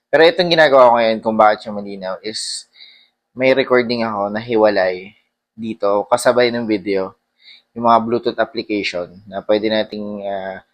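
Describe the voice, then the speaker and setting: Low-voiced man vlogging casually. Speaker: motorcycle vlogger. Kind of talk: casual vlog product review, mixing Tagalog and English.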